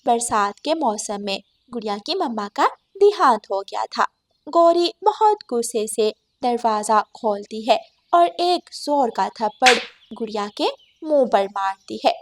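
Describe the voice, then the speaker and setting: Casting: female narrator for kids' animated tales, fairly high pitch, unhurried delivery. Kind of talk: scripted children's story narration.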